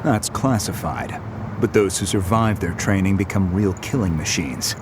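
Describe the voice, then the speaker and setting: A gruff, talkative recruiter from an action game. His voice sits low, with a wry, matter-of-fact delivery. Clear ambient noise surrounds him. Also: expressive, moderate pace. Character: gruff, chatty male security recruiter, sizing people up. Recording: noisy outdoor ambience behind.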